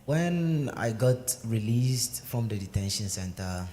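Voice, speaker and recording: A young man speaks hesitantly, pausing often with fillers as he tells his own story. His voice sits low and mellow. It is recorded in a large sanctuary with a long reverb. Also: lively intonation. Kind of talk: personal testimony answering questions. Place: synagogue sanctuary. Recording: echoey sanctuary with faint noise.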